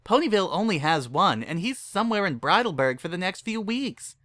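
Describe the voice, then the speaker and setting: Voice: medium pitch, sing-song, fairly quick, fairly bright tone, no echo, pitched above the performer's own voice. A narrator voicing a practical, fretful female farm pony, plain-spoken and skeptical in manner. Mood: doubtful.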